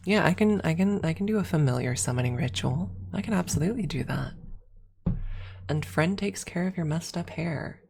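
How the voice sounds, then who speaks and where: A woman with a soft, breathy voice, often near a whisper, chatting casually and playfully as an ASMR streamer close to the microphone. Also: sits low, animated, moderate pace, slight background noise. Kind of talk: casual ASMR livestream chat with viewers.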